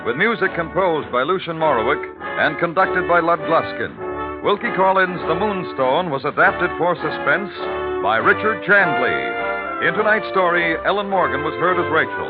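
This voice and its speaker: American radio announcer over loud music. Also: male voice, a little high, sing-song, normal speed. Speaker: program announcer. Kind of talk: dramatic 1950s radio announcing. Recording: tinny vintage radio, loud orchestral music behind.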